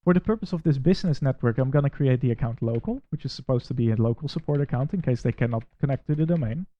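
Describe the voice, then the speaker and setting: A man from the Netherlands with a Dutch accent, walking through a software installer step by step and critiquing it in a plain, methodical way. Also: medium pitch, expressive, quick pace, no room sound, muffled recording. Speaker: tech reviewer. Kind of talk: screen-recorded software walkthrough.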